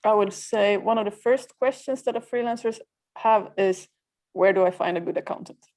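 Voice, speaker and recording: A woman from Finland whose first language is Swedish, a freelancer guest on a podcast, speaking in a plain, practical way with frequent pauses. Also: mid-range voice, darkish tone. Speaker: guest, freelancer community co-founder. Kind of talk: podcast interview.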